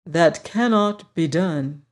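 A reader voicing a dignified, courteous monkey king who speaks in a formal, measured way. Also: medium pitch, expressive.

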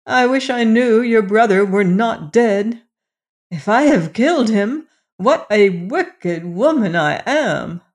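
A woman's voice: medium pitch, takes it slowly, natural voice. A woman voicing a guilt-stricken, fearful wicked queen and stepmother in a storybook, moaning and lamenting in exclamations. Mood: anguished.

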